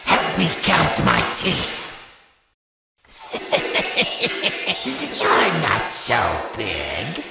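Deep creaky toothy clown robot, swingy voice, loud music. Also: female voice, wildly swooping pitch, drawn-out words, long pauses, reverberant, big-room sound, heavily acted. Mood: predatory. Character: toothy female clown animatronic, predatory.

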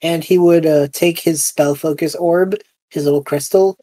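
A man's voice: on the high side, steady tone, normal speed, warm tone. A man playing a tabletop game, describing his character's moves in casual chat.

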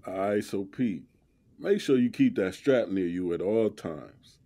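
Casting: male actor as slangy young boyfriend, protective and assertive, mellow tone, audio drama. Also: fairly low pitch, expressive, measured pace. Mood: tender, protective. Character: protective, street-smart young man dating the heroine.

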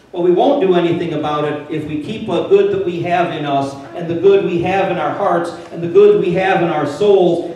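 An older man preaches in a plainspoken, conversational way, his voice sitting a little high for a man. He is heard from a distance through a handheld mic in a very echoey church sanctuary, with a faint hum of room sound underneath. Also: darkish tone. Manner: plainspoken and conversational, building to urgent emphasis. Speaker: pastor. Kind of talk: sermon. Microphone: handheld mic, filmed from a distant camera.

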